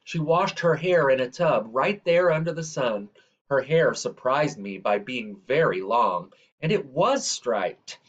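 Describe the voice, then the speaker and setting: A man reading a children's story aloud in a measured, expressive way, drawing out the ends of phrases. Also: medium pitch, highs cut off. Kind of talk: read-aloud scripted narration.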